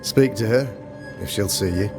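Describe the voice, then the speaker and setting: A pompous, low-voiced colonial governor. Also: male voice. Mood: grave. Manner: formal, measured, grandiloquent archaic phrasing. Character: pompous colonial town governor, older man, solemn and self-regarding. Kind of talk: dramatic video game acting. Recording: music under the voice.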